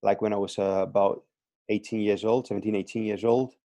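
A man's voice: on the low side, flat delivery, brisk, warm tone, dry recording. A man in his thirties from Greece with a Greek accent, speaking English as a second language as a coach guest on a podcast, hesitant with repeated words and fillers. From Greece (Crete). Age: 30s. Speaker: strength and conditioning coach, guest. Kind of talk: casual podcast interview.

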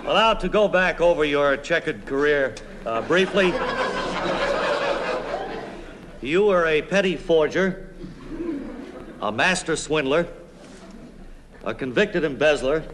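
Earnest radio interviewer, reverberant noisy recording. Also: male voice, on the high side. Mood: earnest, deadpan. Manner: measured, deadpan, polite, hesitant questioning. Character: earnest, respectful male radio news interviewer. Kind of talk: deadpan parody of a radio interview.

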